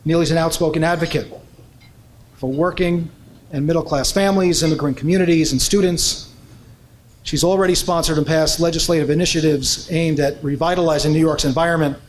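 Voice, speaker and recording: A man's voice: a little high, steady tone, a little fast, a little hiss or hum. A man introducing honorees at an awards evening, reading prepared biographies in a formal way with frequent "uh" fillers.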